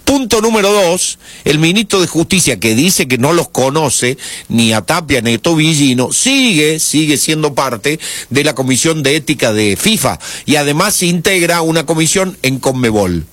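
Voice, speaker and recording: A man's voice: a little high, expressive, moderate pace, fairly bright tone, no echo. A man with an Argentine accent giving pointed, conversational sports commentary on radio, full of rhetorical questions. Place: radio studio.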